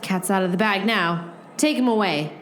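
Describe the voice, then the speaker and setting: A woman's voice: mid-range voice, moderate pace, natural voice. A woman playing a bossy, self-assured game master turned sleuth in a hammy comic radio play. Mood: confident, commanding. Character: bossy, confident young female game master and sidekick sleuth. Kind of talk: comedic radio play acting. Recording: noisy recording with clicks.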